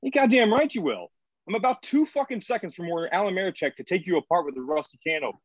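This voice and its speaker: Gruff sci-fi colony chief, acted by a man: confrontational, profane, suspicious. Dry, muffled lo-fi recording. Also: high-pitched, lively intonation, rapid-fire, no echo. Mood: angry. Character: gruff, foul-mouthed, suspicious male boss of a space colony. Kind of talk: sci-fi screenplay table read.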